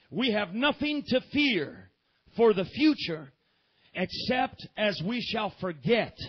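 A man delivers a fervent sermon in a strikingly high, husky voice, rising to shouted peaks and sinking to low, quiet passages, with frequent "amen". The recording is dull and lo-fi, as if taped from a church pulpit microphone. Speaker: pastor.

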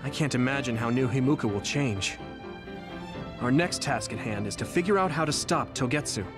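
Man acting earnest young soldier over loud music. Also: mid-range voice, expressive, normal speed, natural voice. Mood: resolved. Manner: earnest, plainspoken. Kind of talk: English-dubbed anime game acting.

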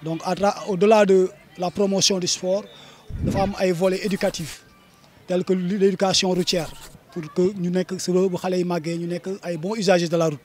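A man's voice: high voice, little pitch movement, moderate pace, a little mellow, faint music behind. A man in his thirties with a Senegalese accent, speaking as an association official in an outdoor interview. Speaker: association vice-president. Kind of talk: on-camera interview. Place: outdoors on a sandy football pitch. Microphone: handheld reporter microphone.

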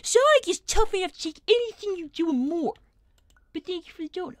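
A woman's voice: extremely high pitch, animated, brisk, no echo. A woman voicing an earnest, chatty young boy cartoon fox who hosts a game livestream in character. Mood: earnest, concerned. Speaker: livestream host playing a game. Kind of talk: in-character casual game commentary.

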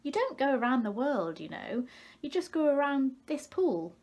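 A woman's voice: high-pitched, sing-song, moderate pace, a little mellow, voice pushed up. A woman reading a storybook as a plucky, outspoken, indignant young male little fish. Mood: challenging.

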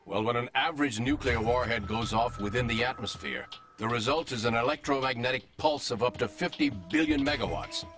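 An actor playing a middle-aged intelligence colonel in a video game cutscene, briefing in a clipped, urgent manner. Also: male voice, mid-range voice, a little fast, over music, natural voice.

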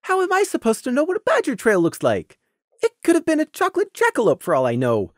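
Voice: high voice, fast, no room sound, studio quality, voice pushed up. A narrator voicing an eager, clumsy young earth pony recruit who protests weakly. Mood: protesting. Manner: excited, then sheepish and protesting.

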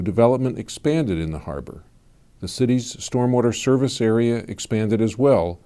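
Low, mellow male explainer narrator. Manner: measured, even informational delivery.

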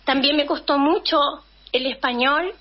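A woman in her fifties from Chile, a guest telling her life story in a relaxed conversation, acting out scenes from her childhood. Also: high voice, a little slow, muffled recording. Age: 50s. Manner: animated storytelling, acts out her younger self. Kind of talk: casual interview conversation.

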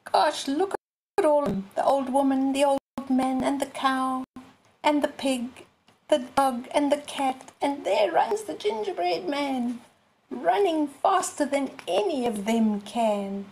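An older woman in her sixties reads a picture book aloud to small children. Her voice is fairly high and she goes slowly, phrase by phrase, with expression. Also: creaky, a little mellow, slight background noise, low-quality recording. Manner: deliberate, phrase-by-phrase delivery. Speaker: storyteller.

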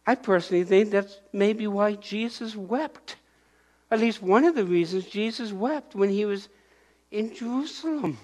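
An older man preaching a sermon in a church, moving about and raising his voice in bursts. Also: high-pitched. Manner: animated preaching, shouting acted-out crowd voices and pointing. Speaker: pastor. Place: church sanctuary.

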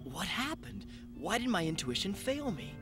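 A man voicing an earnest, puzzled and sensitive young cadet in an animated sci-fi dub. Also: high voice, normal speed, music in the background. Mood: troubled. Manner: earnest, wondering.

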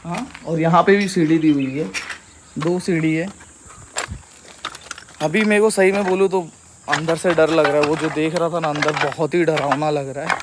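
A man vlogging as he explores an abandoned school by day, talking in an excited, spooked way and mixing in English words. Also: fairly high pitch. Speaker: vlogger exploring a haunted place. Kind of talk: casual walk-through vlog. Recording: loud insect and cricket noise behind.

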